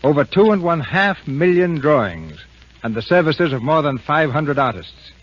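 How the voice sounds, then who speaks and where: A man's voice: fairly high pitch, sing-song, normal speed, no room sound. A man hosting and narrating a radio drama in formal, grandiloquent 1930s scripted style. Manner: grandiloquent, oratorical. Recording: muffled, husky-sounding old radio broadcast.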